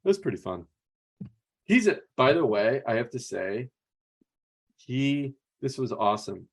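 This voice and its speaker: A man's voice: mid-range voice, sing-song, unhurried. An American man telling anecdotes casually as a podcast co-host and coach.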